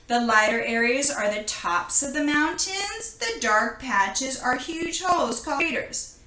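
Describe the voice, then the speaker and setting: A woman reading a children's picture book aloud in an expressive manner, recorded in an echoing room. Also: high voice, a little slow. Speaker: storybook reader. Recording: roomy, echoing indoor sound.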